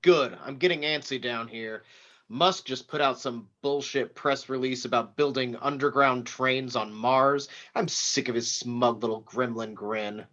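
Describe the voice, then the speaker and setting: A man's voice: mid-range voice, a little slow, fairly bright tone, lightly acted. Man playing an irritable boss, dull lo-fi audio. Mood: impatient, irritated. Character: impatient, irritable, foul-mouthed male boss on a video call. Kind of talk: live table read of a script.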